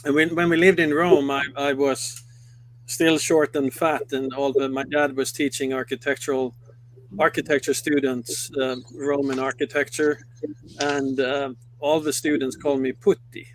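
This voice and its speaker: A middle-aged man from Sweden with a Swedish accent, a company head recounting his life in a live interview, halting and full of fillers and restarts. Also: medium pitch, level tone, a little hiss or hum, poor audio. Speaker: guest, company CEO. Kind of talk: live interview, personal story.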